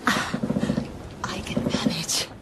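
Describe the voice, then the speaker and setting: A woman's voice: takes it slowly, in a whisper, lightly acted. An actress in a radio drama playing a genteel, spirited woman, articulate and composed under strain. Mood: wary, plucky. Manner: articulate, composed but anxious. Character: spirited, genteel young woman aboard a sealing ship. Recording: audio drama with clear ambient noise.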